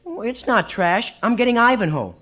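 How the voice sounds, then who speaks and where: A boyish teenage son in an old radio sitcom. The voice is high, wide-eyed and pleading, full of gee-whiz eagerness, on a vintage 1950 broadcast recording. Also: male voice, animated, normal speed, fairly bright tone, exaggerated voice.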